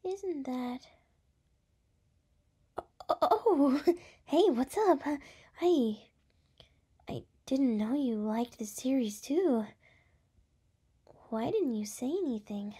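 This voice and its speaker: Woman acting a slow, breathy, brash young gal. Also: high voice, expressive, mellow, exaggerated voice. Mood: exasperated, then excited. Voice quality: breathy, often dropping into whispers. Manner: halting, teasing, exasperated. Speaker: voice actress. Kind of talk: ASMR roleplay.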